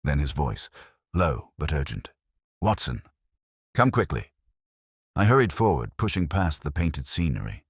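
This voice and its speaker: A man narrating a dramatic mystery story in a measured, solemn manner with short, deliberate phrases. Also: extremely low pitch, sing-song, husky, dry recording, clean studio sound.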